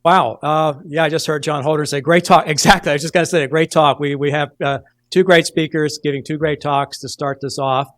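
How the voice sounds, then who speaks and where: A middle-aged man moderates a conference session, introducing speakers in a loose, chatty way with frequent restarts. His voice sits a little high. The sound is dry and close. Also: dry recording. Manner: chatty, repeating words. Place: conference hall at a podium. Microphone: podium mic.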